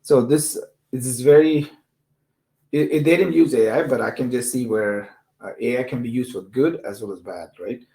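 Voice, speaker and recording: A man's voice: medium pitch, moderate pace, warm tone. Man hosting a casual tech talk. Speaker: host of a tech discussion show. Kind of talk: casual discussion of technology and business use cases.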